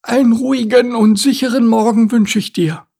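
Man putting on an anxious, high-voiced female storybook tortoise. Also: steady tone, moderate pace, creaky, warm tone, husky, exaggerated voice. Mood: friendly. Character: timid, cautious, sympathetic female tortoise. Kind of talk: storybook character voice.

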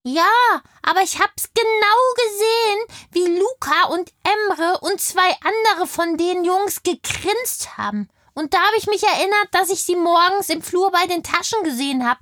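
A woman's voice: very high voice, expressive, a little slow, few pauses, fairly bright tone, no echo, heavily acted. A woman playing a chatty, indignant kindergarten girl in a children's audio play, telling things in childlike everyday words. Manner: childlike, colloquial storytelling.

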